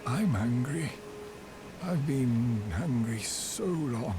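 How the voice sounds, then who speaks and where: A man playing a meek, starving wandering merchant in a video game, halting and servile as he pleads. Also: medium pitch, animated, music in the background. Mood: pleading, servile. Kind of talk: video game character acting.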